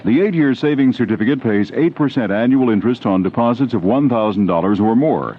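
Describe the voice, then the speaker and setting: A man's voice: mid-range voice, expressive, fairly quick. A man announcing a scripted radio commercial. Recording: dull, noisy old radio broadcast.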